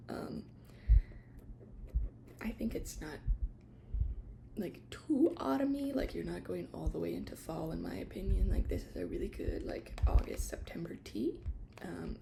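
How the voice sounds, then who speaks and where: Whispering woman in soft ASMR roleplay. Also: mid-range voice, creaky. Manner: hesitant, unscripted, gently explaining. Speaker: ASMR creator playing a tea shop attendant.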